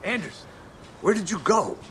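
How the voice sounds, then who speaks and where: A man's voice: very animated, normal speed. An actor playing a laconic, matter-of-fact male federal agent in a video game. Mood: puzzled, dry. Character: low-key, laconic adult male FBI special agent. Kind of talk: video game drama acting. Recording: faint rumbling noise behind.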